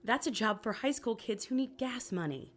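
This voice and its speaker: An actress playing a practical, exasperated wife with a dry, pointed way of talking in an audio drama. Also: female voice, on the high side, expressive, normal speed. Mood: sharp, dry. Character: practical, sharp-tongued, exasperated wife of a magician.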